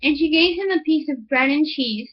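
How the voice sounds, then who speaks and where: A woman's voice: high voice, moderate pace, muffled recording. A woman reading a fairy tale aloud as scripted audiobook narration. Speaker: audiobook reader.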